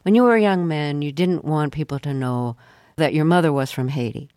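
Woman host asking questions, calm and probing, dry studio sound. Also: deep voice, a little fast, no echo. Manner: calm, probing, with frequent um fillers. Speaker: radio interview host. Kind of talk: interview questions.

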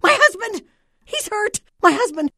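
A woman's voice: extremely high pitch, big pitch swings, measured pace, bright, forceful tone, no echo, studio quality, pitched above the performer's own voice, exaggerated voice. A woman voicing a hysterical wife who sobs, wails and repeats herself as she begs for news of her hurt husband. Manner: wailing, sobbing, repeats phrases three times.

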